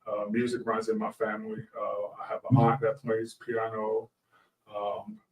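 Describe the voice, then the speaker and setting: A man's voice: lowish voice, completely flat delivery, moderate pace, darkish tone, recorded from a distance, low-quality recording. A man from Arkansas answering interview questions casually as a musician guest, polite, understated and a little hesitant. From Arkansas, southern United States. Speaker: guest musician, gospel singer and organist.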